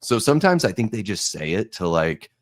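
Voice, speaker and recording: A man's voice: lowish voice, big pitch swings, brisk, no echo, studio quality. A man co-hosting a podcast, chatting casually and bluntly, with strong opinions and some swearing. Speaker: podcast co-host.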